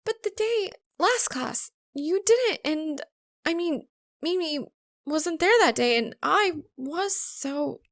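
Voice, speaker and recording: A high-voiced, flustered college girl, played by a woman reading a story. She stammers and blurts, earnest but quick to get defensive, in a dry audiobook recording. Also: lively intonation, a bit bright, no echo, full character voice. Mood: puzzled, halting.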